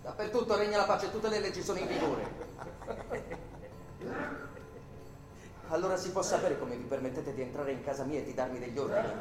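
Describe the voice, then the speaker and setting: Radio play lead: male actor as indignant innocent man under arrest, higher-pitched, rhetorical, roomy sound over music. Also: fast talker, close to an everyday voice. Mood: outraged. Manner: rhetorical, insistent questioning. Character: indignant arrested man protesting his innocence, articulate and defiant. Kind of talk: theatrical radio drama acting. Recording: roomy echo with music behind.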